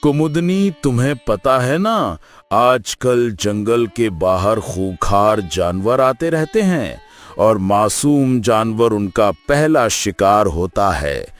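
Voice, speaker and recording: A man's voice: lowish voice, big pitch swings, takes it slowly, faint music behind. A man playing a blunt, gloomy forest animal in a cartoon fable, speaking with an ominous manner. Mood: ominous concern. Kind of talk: Hindi cartoon story acting.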